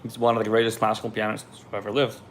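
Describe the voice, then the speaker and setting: A man's voice: fairly low pitch, monotone, racing, a little hiss or hum. A man from Ukraine, raised in Israel, reads viewer questions aloud on a live stream and answers them in a loose, candid way.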